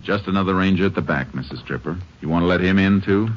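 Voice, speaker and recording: A man's voice: sits low, quick pace, close to an everyday voice. A man acting a stern, laconic Texas Ranger in a radio western, with a dry, clipped, authoritative delivery. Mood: dry, firm. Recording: muffled, hissy old radio recording.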